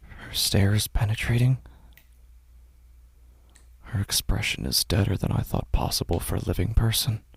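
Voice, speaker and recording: A man narrating a first-person horror story in a measured, tense way. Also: lowish voice, vocal fry, slightly rough, dry recording.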